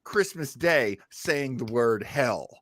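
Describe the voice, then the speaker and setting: A man's voice: mid-range voice, lively intonation, takes it slowly, fairly bright tone, no echo, clean studio sound. A man hosting a podcast with conversational commentary. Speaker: podcast host.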